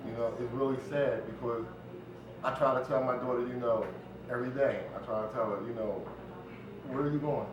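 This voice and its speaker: A man's voice: medium pitch, fairly even intonation, fairly quick, highs cut off. A middle-aged American man talking casually and earnestly as an interview guest, dropping in frequent conversational asides. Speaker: interview guest, stage play writer. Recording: very echoey room, distant mic, noisy.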